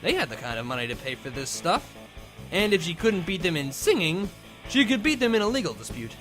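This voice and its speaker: High-pitched man narrating a comedic story. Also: big pitch swings, fairly quick, over music. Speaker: fan fiction reader, channel host.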